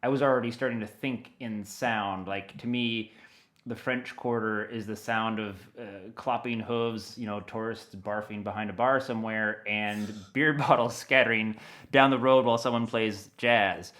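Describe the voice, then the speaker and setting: A man's voice: fairly low pitch, normal speed. Casual middle-aged American man, chatty storyteller. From Maine, United States. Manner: informal, animated storytelling with slang and quoted thoughts. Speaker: interview guest, audio dramatist. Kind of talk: casual chat.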